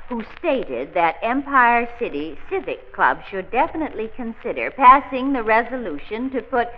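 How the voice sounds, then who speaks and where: Prim, lilting club secretary. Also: female voice, fairly high pitch, very animated, moderate pace. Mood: prim, official. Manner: formal, proper, official. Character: prim, formal female club secretary reading meeting minutes. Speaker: meeting chair. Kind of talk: 1940s radio drama acting. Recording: muffled, noisy old radio recording.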